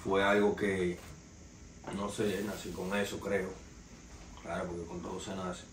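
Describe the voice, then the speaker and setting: A young man with a Dominican Spanish accent rambles through celebrity gossip and street stories from his sofa, with a fairly low voice. He was recorded by a camera across a small, bare room, so his voice sounds distant and roomy under faint hiss. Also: little pitch movement, a little slow. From Dominican Republic. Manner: loose, street-slang storytelling, addresses "señores". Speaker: podcast host. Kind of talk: casual entertainment news commentary. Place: small living room, indoors, seated on a sofa. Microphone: far camera, no mic seen.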